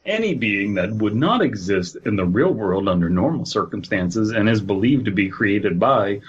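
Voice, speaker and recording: A man's voice: lowish voice, lively intonation, normal speed, husky, muffled recording. A man hosting a podcast, reading aloud and bantering in a joking, sardonic way. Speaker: podcast host. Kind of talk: casual banter, reading a horror wiki aloud.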